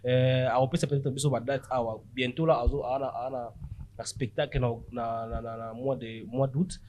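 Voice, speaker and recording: In a slightly dull recording with faint noise, a Congolese man hosts a casual interview. He speaks quickly and mellowly, mixing languages and echoing his guest. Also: mid-range voice, level tone, a little mellow. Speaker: podcast host. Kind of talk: casual interview chat, switching between languages.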